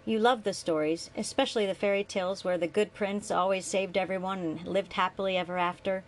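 A woman voicing a guilty middle-aged father, speaking softly and coaxingly to the son he abandoned. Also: medium pitch, steady tone, a little fast, a little hiss or hum, lightly acted, poor audio.

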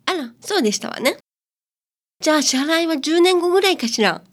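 A woman's voice: sits high, expressive, brisk. A woman playing an alluring, teasing older sister with refined, coquettish speech in a radio drama. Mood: teasing, coy.

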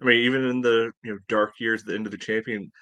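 A man's voice: medium pitch, fairly even intonation, fast talker, bright, forceful tone, no room sound. A man co-hosting a sports podcast, giving casual analysis with a dry, wry manner. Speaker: podcast co-host.

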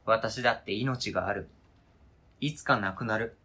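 A man's voice: fairly low pitch, normal speed, sharp tone, husky. A man acting a stubborn, hurt partner in an amateur radio drama, questioning intensely and insistently. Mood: coldly accusing. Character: stubborn, hurt partner fiercely attached to an old toy.

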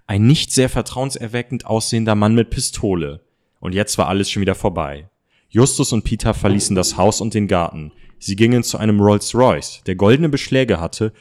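A man's voice: fairly low pitch, darkish tone. A man reading scripted story narration as the narrator.

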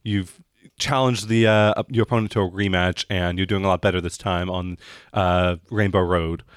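A man narrating as game master of a tabletop role-playing game in a conversational, halting way. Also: low-pitched, steady tone, clear, resonant tone, no echo, clean studio sound.

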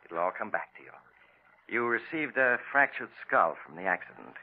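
An American actor playing a calm, authoritative hospital doctor in 1940s radio style, measured and reassuring. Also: male voice, fairly low pitch. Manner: measured, reassuring bedside delivery. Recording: muffled vintage 1940s radio broadcast.

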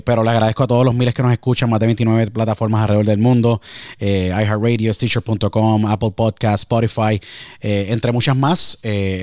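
A man's voice: mid-range voice, a little mellow, no echo, clean studio sound. A man hosting a podcast, effusive and repetitive, mixing English words into his speech as he presents an interview. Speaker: podcast host. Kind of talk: enthusiastic interview introduction.

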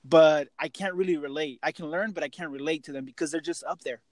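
A man's voice: fairly high pitch, fast, no echo. A man of thirty hosting a podcast in a casual, conversational interview style, very informal and peppering his talk with "you know". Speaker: podcast host.

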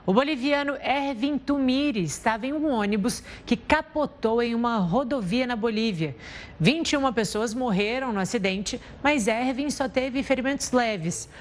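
A woman reads a scripted news item in a fairly high voice. Her delivery is crisp and measured, in a newscast style. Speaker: news presenter.

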